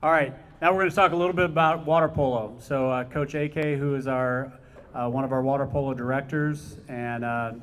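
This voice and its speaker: A man's voice: mid-range voice, steady tone, a little fast, slight background noise. A man, the head swim coach, addressing families at a team meeting, informal and full of fillers. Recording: long reverb in a large hall.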